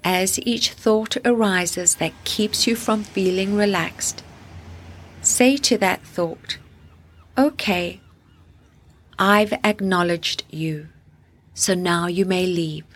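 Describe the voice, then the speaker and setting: A woman hosting a guided sleep meditation, speaking gently and soothingly in deliberate phrases with long pauses. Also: lowish voice, slight background noise.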